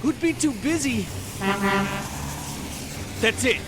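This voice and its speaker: A man's voice: very high-pitched, expressive, slow pace, background noise, exaggerated voice. An actor playing an anxious eighteen-year-old boy on the run in an audio drama. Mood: tense, thinking.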